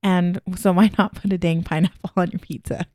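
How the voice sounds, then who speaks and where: A woman's voice: lowish voice, fairly even intonation, a little fast, warm tone, no room sound, clean studio sound. A woman co-hosting a food podcast, chatting casually with a bubbly, playful manner and frequent laughter. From Hawaii. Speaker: podcast co-host. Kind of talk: casual chat.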